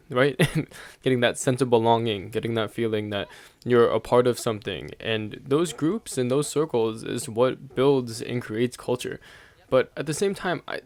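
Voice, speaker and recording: A man's voice: mid-range voice, darkish tone, no room sound. Chuckling young man, dry close sound. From United States. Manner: rambling, thinks aloud, chuckles often mid-sentence. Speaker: vlogger sharing opinions. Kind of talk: casual opinion monologue.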